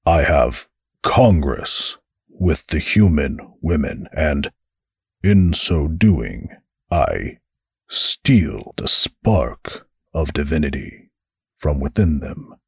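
A man voicing a stern, proud fallen angel in an audiobook, speaking gravely and slowly. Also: rumbling low, very animated, no echo, studio quality, full character voice. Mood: solemn.

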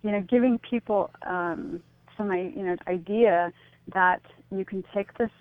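A woman's voice: mid-range voice, a little slow, no room sound. A woman being interviewed on radio over a narrow phone-like line, explaining her views earnestly in a conversational way. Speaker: interview guest, author.

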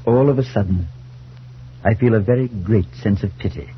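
Actor as compassionate male priest and storyteller, low pitch, measured, noisy vintage radio recording. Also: lo-fi sound, close to an everyday voice. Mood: earnest. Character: earnest, compassionate male priest narrating his tale. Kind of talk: 1940s radio drama narration and acting.

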